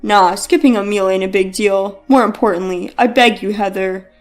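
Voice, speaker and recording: A woman's voice: medium pitch, steady tone, normal speed, faint music behind, pitched below the performer's own voice, close to an everyday voice. A woman voicing a pleading, money-minded ex-boyfriend in a wheedling, begging manner within a narrated story.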